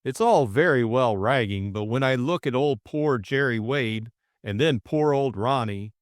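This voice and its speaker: A man's voice: mid-range voice, animated, measured pace, no echo, studio quality, natural voice. A man voicing an earnest, fretful young upper-class Englishman who bumbles hesitantly through what he means to say in an audiobook. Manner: hesitant, bumbling, repeating himself.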